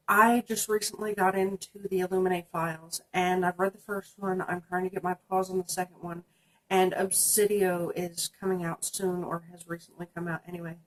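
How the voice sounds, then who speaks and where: A woman's voice: medium pitch, low-quality recording. A young woman, stuffy from a sinus infection, chatting casually about books on her channel, with lots of ums. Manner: chatty, many ums, reading prompts aloud. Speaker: book vlogger. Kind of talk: casual book tag video.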